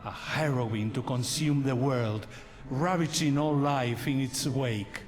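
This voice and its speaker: An actor playing a solemn guide who recounts dark legends in a grave, formal and deliberate way for a fantasy game. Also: male voice, medium pitch, a little hiss or hum, close to an everyday voice. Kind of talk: dramatic fantasy game narration. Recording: long reverb, music behind.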